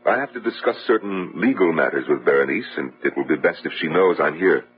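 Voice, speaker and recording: A man's voice: very deep voice, expressive, fast talker, husky, full character voice. A man playing a polite, hesitant lawyer and family friend in an old radio drama. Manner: courteous, hesitant, faltering. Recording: tinny, narrow-band vintage radio recording.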